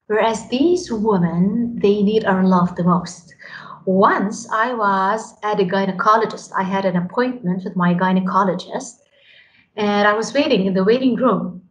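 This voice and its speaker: A woman's voice: mid-range voice, measured pace. A woman from Lebanon, earnestly telling her story in a podcast interview and often repeating words as she starts a sentence. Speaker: radio program director. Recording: echoey room, faint noise.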